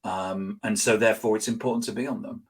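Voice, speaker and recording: Husky-voiced man telling stories. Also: on the low side, fast talker. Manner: rambling with frequent restarts and fillers; animated, laughing while telling anecdotes. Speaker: guest, social selling expert. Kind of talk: conversational interview storytelling.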